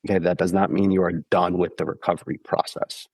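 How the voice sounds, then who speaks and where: A man's voice: low-pitched, little pitch movement, quick pace, a little mellow, slightly rough, dry recording. A man recovering from the flu, sounding congested, explaining running injuries patiently on a podcast and often checking in with "okay?". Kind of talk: casual explanatory podcast talk.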